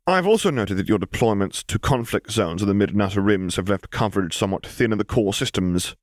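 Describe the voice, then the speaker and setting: A man voicing an earnest, courteous male admiral who speaks in a formal, measured way, in an audiobook. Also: lowish voice, lively intonation, no room sound, studio quality, close to an everyday voice. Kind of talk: audiobook character voice.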